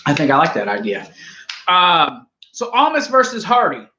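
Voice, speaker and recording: A man's voice: fairly high pitch, wildly swooping pitch, moderate pace, sharp tone, roomy sound, lo-fi sound, slight background noise. A man casually reviewing a wrestling show, rambling and joking as he argues with his co-host. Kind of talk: casual live review chat.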